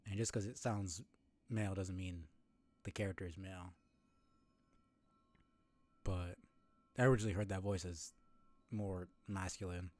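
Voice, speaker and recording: Low, mellow man musing aloud. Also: steady tone, unhurried, pauses often. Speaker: game streamer. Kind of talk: casual playthrough commentary.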